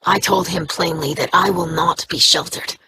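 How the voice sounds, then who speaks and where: A woman's voice: lowish voice, fairly even intonation, normal speed, bright, forceful tone, slightly rough, full character voice, poor audio. An earnest young alien woman. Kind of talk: video game dialogue.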